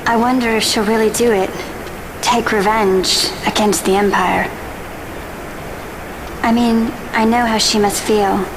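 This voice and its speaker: An actress voicing a gentle, wistful young woman adventurer in a video game, speaking softly and reflectively. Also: a little high, fairly even intonation, unhurried, long pauses. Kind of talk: dramatic video game voice acting. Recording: clear noisy ambience behind voice.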